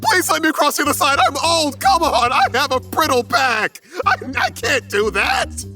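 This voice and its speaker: A man's voice: extremely high pitch, wildly swooping pitch, moderate pace, pushed and bright, soft background music, pitched above the performer's own voice, heavily acted. A man voicing a whiny, anxious old man who hesitates and complains about his frailty. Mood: whiny pleading.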